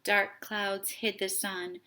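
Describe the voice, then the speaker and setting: Expressive woman reading a children's story, bright voice. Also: medium pitch. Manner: dramatic, deliberate phrasing. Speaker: storytime host reading a picture book aloud.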